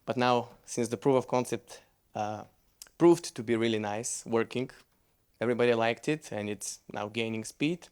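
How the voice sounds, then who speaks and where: Male presenter, English, relaxed, conversational, stumbles and restarts mid-sentence. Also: medium pitch, moderate pace, clean tone. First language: Bulgarian. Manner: loose, conversational, with frequent restarts. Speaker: conference speaker. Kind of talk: conference presentation with Q&A.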